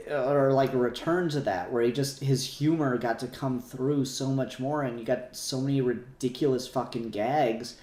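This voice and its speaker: A man's voice: medium pitch, darkish tone, reverberant. A man hosting a casual fan podcast, talking in a rambling, enthusiastic way and swearing casually. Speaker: podcast host.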